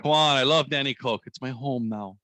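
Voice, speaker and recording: A man's voice: mid-range voice, brisk, background noise. A middle-aged man from Hawaii, a guest comedian in casual podcast chat, joking in a dry, self-mocking way over a video call.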